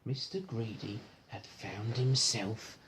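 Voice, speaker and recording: A man's voice: medium pitch, slow pace, fairly bright tone, slight background noise, low-quality recording. A man reading a storybook aloud to a child, chatting in a coaxing way with frequent little questions. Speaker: parent reading a bedtime-style story to a child.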